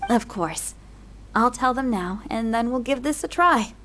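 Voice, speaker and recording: A woman voicing a cautious, measured church nun in a fantasy game, polite and doubtful. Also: a little high, lively intonation, a bit bright, a little hiss or hum. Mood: hesitant. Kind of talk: game voice acting.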